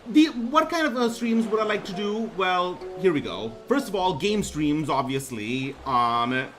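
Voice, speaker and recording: A young man with a baritone voice introducing himself on his debut stream, talking haltingly with lots of ums and restarts. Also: animated. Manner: hesitant, many ums and restarts. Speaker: VTuber streamer. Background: music playing.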